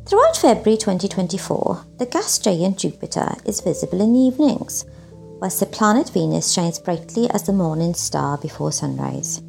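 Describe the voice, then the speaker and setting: A woman's voice: lowish voice, vocal fry, mellow. A woman presenting a literary showcase, introducing pieces and reading her own reflective writing in a scripted style.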